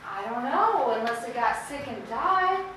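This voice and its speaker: A distant, echo-heavy hall recording of an actress as a gentle, dutiful sheriff's wife in a period stage drama. Her voice is high and bright, with a polite, hesitant manner. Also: very animated, unhurried, full character voice. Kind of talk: stage play acting, early 1900s rural American drama. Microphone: distant camera. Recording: echoey hall from far off, noisy.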